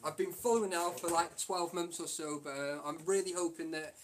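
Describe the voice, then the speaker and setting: A man vlogging urban exploration, talking excitedly and calling his viewers "guys". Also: a little high, fairly quick. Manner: loud, hyped, addresses viewers as guys. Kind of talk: excited casual chat. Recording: busy crowd noise behind.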